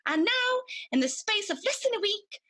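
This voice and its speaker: A high, bright good fairy played by a woman from a radio pantomime. She is cheeky and smug, with a very animated, theatrical lilt. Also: moderate pace, exaggerated voice. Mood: smug, boastful.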